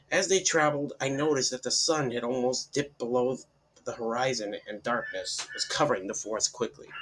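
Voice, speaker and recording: A man with a husky voice giving a dramatic, somewhat halting reading of a horror story as its narrator. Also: medium pitch, fairly bright tone, a little hiss or hum, low-quality recording.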